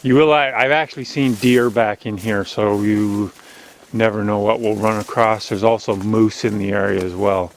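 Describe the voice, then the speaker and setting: A man with a strong, loud voice hosting a walking video outdoors on an overgrown logging road, chatty and rambling as he narrates, recorded on a handheld camera. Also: fairly low pitch, fairly even intonation, moderate pace, a little hiss or hum. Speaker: channel host. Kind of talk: casual walking vlog narration. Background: faint outdoor nature ambience.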